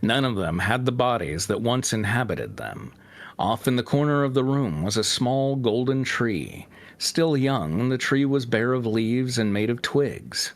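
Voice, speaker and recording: A man's voice: mid-range voice, sing-song, a little slow. A man reading a fantasy story aloud as its narrator. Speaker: fan fiction reader and narrator. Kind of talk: scripted story narration with joking asides.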